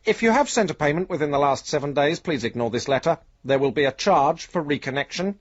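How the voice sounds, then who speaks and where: An officious company manager in a radio play. His voice is fairly high and formally official, on a slightly dull recording. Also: animated, brisk. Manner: formal, official letter-reading. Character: officious male company manager demanding payment. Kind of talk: radio drama letter monologue.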